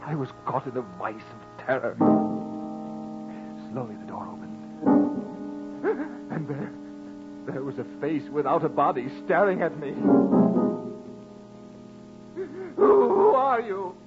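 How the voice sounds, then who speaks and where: An actor playing a panicked, guilt-ridden murderer, narrating a horror radio drama in a melodramatic way with dramatic pauses. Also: male voice, fairly high pitch, very animated. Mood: terrified. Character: guilt-ridden, panicked male murderer confessing his crime. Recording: muffled vintage radio recording with music.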